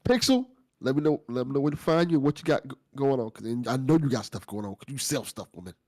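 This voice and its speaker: A man's voice: medium pitch, expressive, fast, darkish tone. A man hosting a casual after-show chat, passing the talk from one guest to the next.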